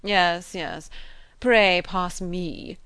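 A woman's voice: fairly low pitch, animated, moderate pace, a bit bright, no room sound. Woman doing a sneery married man from an old novel, fake humble, leans on his words, dry sound. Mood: sneering. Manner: emphatic, sneering. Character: sneering, self-deprecating older married man. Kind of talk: classic novel character dialogue.

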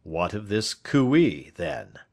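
A man's voice: fairly low pitch, sing-song, slow, full character voice. A man reading the part of a Victorian doctor friend who asks brief, wondering questions. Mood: questioning. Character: admiring, plain-spoken Victorian male doctor companion.

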